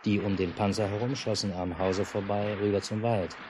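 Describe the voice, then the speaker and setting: Actor as low-voiced, unflappable father in old radio drama. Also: steady tone, moderate pace, lightly acted. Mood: dry narration. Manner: dry, understated. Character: unflappable, dryly matter-of-fact family father amid war. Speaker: narrator and father. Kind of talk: 1950s radio drama acting. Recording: noisy 1950s mono radio recording.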